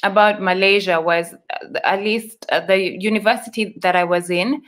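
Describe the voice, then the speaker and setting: A woman's voice: mid-range voice, steady tone, moderate pace, fairly bright tone. A young woman from Uganda, a guest on a video call interview, friendly and explaining at length. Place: small room indoors. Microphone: video call webcam.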